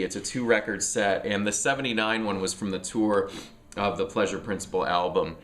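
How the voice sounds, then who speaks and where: A middle-aged man with a slightly low voice talks about his record collection at home. He sounds a little sniffly from allergies. He rambles in a loose, casual way, full of fillers and restarts. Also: a bit bright, slight background noise. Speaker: music vlogger.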